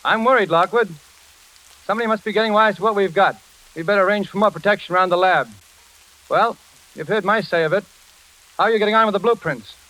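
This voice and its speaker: An actor playing a brisk, slangy engineer who frets over his friend in a 1940s radio drama. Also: high voice, bright, forceful tone. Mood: worried. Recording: noisy, crackling vintage radio recording.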